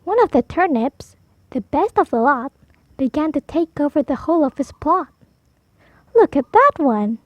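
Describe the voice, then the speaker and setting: A woman storyteller reading a picture book aloud for preschoolers, animated, drawing out key words for emphasis. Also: high-pitched, moderate pace, no echo, highs cut off. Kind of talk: expressive read-aloud for preschoolers.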